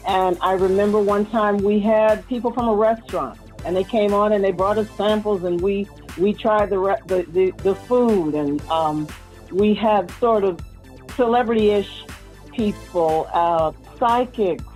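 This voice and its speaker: A woman's voice: mid-range voice, a little slow, a little mellow, faint music behind, poor audio. A woman in a casual interview, reminiscing about her television work and chuckling at times. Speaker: interviewed former TV co-host and actor.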